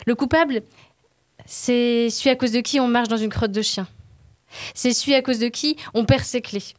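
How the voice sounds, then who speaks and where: Chroniqueuse au ton joueur, prise de son sèche. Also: female voice, on the high side, steady tone, moderate pace, dry recording. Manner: informal, playful. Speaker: podcast columnist. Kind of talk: storytelling and casual chat.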